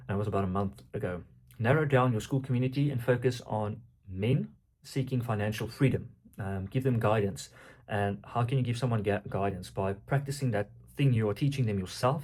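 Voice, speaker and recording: A man's voice: lowish voice, darkish tone. Afrikaans-accented man talking off the cuff. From South Africa. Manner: rambling, earnest, thinking aloud. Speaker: vlogger and aspiring coach. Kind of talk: unscripted casual vlog monologue.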